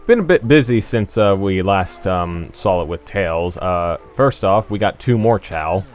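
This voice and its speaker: A man giving casual game commentary as a let's play host, explaining things in a hesitant, halting way. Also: low-pitched, lively intonation. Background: game music.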